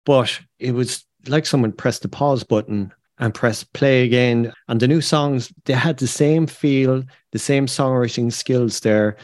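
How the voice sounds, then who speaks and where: Guy hosting a podcast, mid-pitched voice, chatting it over, very dry audio. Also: measured pace, few pauses, a little mellow, dry recording. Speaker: podcast host. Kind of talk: conversational discussion.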